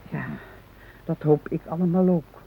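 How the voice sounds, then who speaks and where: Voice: mid-range voice, steady tone, measured pace, crowd noise, natural voice. An actor playing a nervous, hesitant hostage in a radio drama, stammering and seeking reassurance. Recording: noisy, narrow-band old radio recording.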